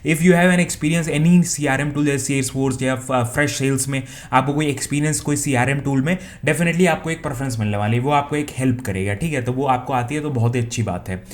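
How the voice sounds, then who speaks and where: Young indian guy talking thru job listings, chatty, keeps asking "ok?", mid pitch. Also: a little fast, hardly any pauses. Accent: Indian English. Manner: conversational, frequent restarts and "theek hai?" check-ins. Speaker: career advice YouTuber. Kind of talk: casual explainer talk, Hindi-English mix.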